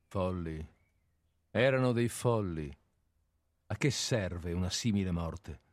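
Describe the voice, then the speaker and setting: A man plays a condemned man in a radio drama, sarcastic and bitter as he faces his own executioner. His voice is low and recorded very dry and close. His remarks come out scornful and cutting. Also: expressive, takes it slowly, no echo. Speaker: actor in a radio play.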